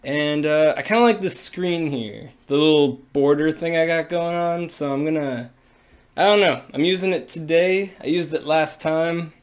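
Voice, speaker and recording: A young man's raspy, hoarse voice, slightly higher than most men's. He talks in a loose, rambling way, full of "uh" and "you know" and restarts, like a streamer thinking aloud. It sounds picked up by a desk webcam in a small room. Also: normal speed. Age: young adult. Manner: rambling, many fillers and restarts. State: hoarse from a cold. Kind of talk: casual livestream explainer.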